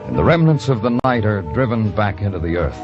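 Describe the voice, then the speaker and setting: A man's voice: lowish voice, big pitch swings, brisk. A man playing a world-weary, brooding police detective, narrating poetically in a hardboiled old radio drama. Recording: muffled old radio recording under loud music.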